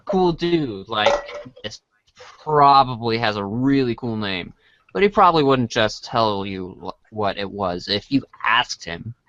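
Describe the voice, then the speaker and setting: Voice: mid-range voice, fairly bright tone, no echo, dull audio. A person reading a comic script aloud as storybook narration, sometimes stumbling over lines and apologizing. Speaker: reader of a read-along storybook show.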